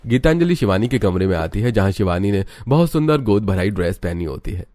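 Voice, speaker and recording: A man's voice: on the low side, big pitch swings, fairly quick, mellow. A man narrating a scripted story in the style of a storybook.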